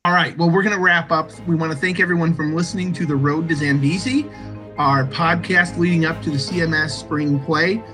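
A man's voice: fairly high pitch, fairly even intonation, brisk, pushed and bright, soft background music. A man hosting a podcast, interviewing guests in a hesitant way and often repeating words. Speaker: podcast host.

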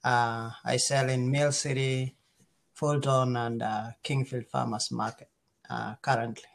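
Man, Kenyan accent, slow pace, halting phrasing, casual interview storytelling. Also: mid-range voice, level tone, vocal fry. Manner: halting, pausing often mid-sentence. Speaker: farmer, interview guest.

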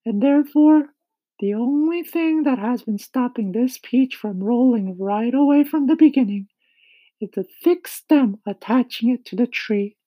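A woman voicing a kind, gentle lady ladybug who explains things bossily and emphatically in a children's storybook reading. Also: fairly high pitch, lively intonation, unhurried, dry recording, voice pushed up, poor audio. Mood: excited, explaining.

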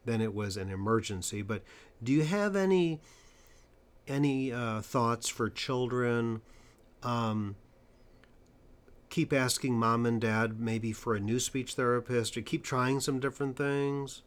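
A man interviewing a guest in a conversational way, stressing points emphatically and slowing down for them. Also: mid-range voice, clear, resonant tone. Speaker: interviewer and stuttering coach.

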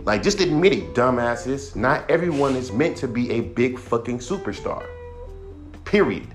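A man in his thirties hosting his own podcast, a rapper ranting bluntly about music with heavy swearing and a voice he calls monotone. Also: mid-range voice, moderate pace. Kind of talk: profane casual rant.

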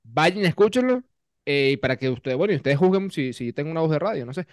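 A man's voice: on the high side, animated, quick pace, no echo, clean studio sound. A man with a Venezuelan accent co-hosting a podcast, chatting playfully and teasingly, often repeating himself. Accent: Venezuelan Spanish. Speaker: podcast co-host. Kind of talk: casual chat.

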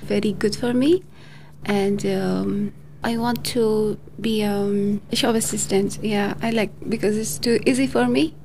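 Woman, hesitant, very slow, faint noise. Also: medium pitch, dark tone. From Pakistan. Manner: hesitant, many fillers. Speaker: adult English learner. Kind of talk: personal introduction.

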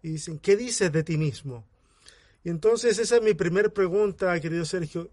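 Male host, 40s, high voice, dry close mic, chatty and laughing. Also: normal speed, a little mellow, dry recording. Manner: chatty, laughs often, addresses guest by name. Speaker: podcast host. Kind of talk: casual interview.

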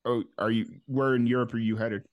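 A man hosts a podcast in a relaxed, casual way. His questions ramble and restart, with plenty of uhs between them. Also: mid-range voice, brisk, muffled recording. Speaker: podcast host. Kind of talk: casual interview chat.

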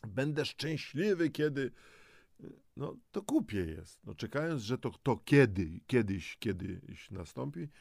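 A man's voice: mid-range voice, sing-song, a little slow, gravelly, a little mellow, no room sound, clean studio sound. A man co-presenting a self-help program, reading out instructions and dropping in informal asides.

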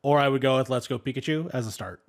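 A male podcast host in easy conversation, steering topics and prompting the others. His voice is mid-pitched and unremarkable. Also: fast. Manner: leads the talk, asks questions. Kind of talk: casual chat.

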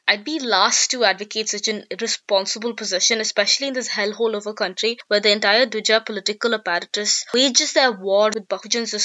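A woman's voice: medium pitch, sharp tone. A young woman, reading a scripted personal essay in an articulate, sharply political and wry way. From India. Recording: dull lo-fi recording.

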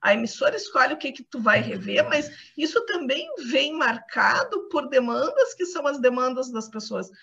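A high female voice with a Brazilian accent and a strong creak talks in a conversational academic style. The online call makes it sound dull and lo-fi. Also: sing-song, normal speed, hardly any pauses, pushed and bright. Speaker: academic panelist.

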